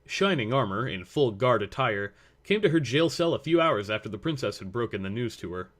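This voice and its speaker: Man narrating a story at medium pitch. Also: sing-song, fairly quick, a bit bright. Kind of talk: scripted fan fiction story narration.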